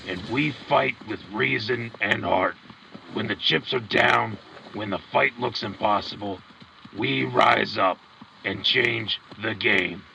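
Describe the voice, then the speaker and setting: Man acting a gravelly wartime radio commentator, rousing. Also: lowish voice, takes it slowly, pushed and bright, exaggerated voice, low-quality recording. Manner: rousing, emphatic. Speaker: radio host. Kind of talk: scripted propaganda radio commentary. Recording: clear vehicle and machinery noise behind.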